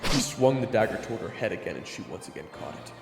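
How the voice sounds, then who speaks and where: A man's voice: lowish voice, animated, fast. A man giving scripted, dramatic narration for an audio roleplay story. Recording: echoey reverb, music behind.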